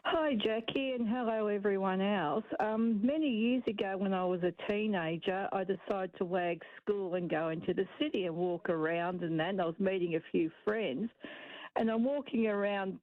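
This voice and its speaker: Aussie lady calling in on a tinny phone line, broad accent, halting casual storytelling, no echo. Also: medium pitch. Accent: broad Australian. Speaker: talkback caller. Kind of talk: casual anecdote. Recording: muffled phone-line call.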